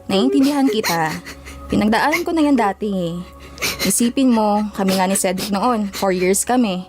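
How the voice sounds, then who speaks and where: A woman with a Filipino accent acting a sassy, frank young best friend in an audio drama, slangy and expressive. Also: mid-range voice, moderate pace, faint music behind, natural voice. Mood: comforting.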